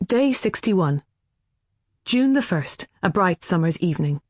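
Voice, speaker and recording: An Irish woman reading scripted fiction as an audiobook narrator, with an expressive storytelling delivery. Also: lowish voice, measured pace, dry recording, clean studio sound.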